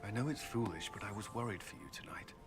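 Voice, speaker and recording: Man acting low-voiced, gentle, earnest suitor. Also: animated, fast, natural voice. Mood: shy, tender. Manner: gentle, hesitant. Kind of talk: romantic fantasy video game dialogue. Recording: music clearly behind the voice.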